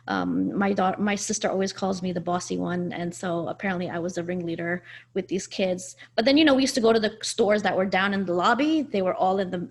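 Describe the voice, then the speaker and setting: A woman in her forties, born in Afghanistan and raised speaking Farsi first, recounts her family's past in a candid, conversational way. Her mid-range voice has a gravelly texture, and her talk is full of fillers and self-corrections. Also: mid-range voice. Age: 40s. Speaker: guest sharing her life story. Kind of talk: personal storytelling interview.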